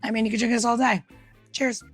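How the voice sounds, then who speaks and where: A woman's voice: fairly high pitch, level tone, fairly quick, slightly rough, soft background music. A woman co-hosting a podcast, giving kitchen tips in a chatty, informal way with casual banter.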